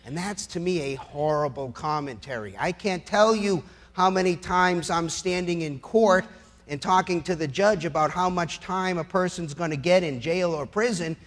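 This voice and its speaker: A man's voice: high-pitched, normal speed. A middle-aged American man giving a persuasive public speech as a lawyer, emphatic and rhetorical with a sardonic edge.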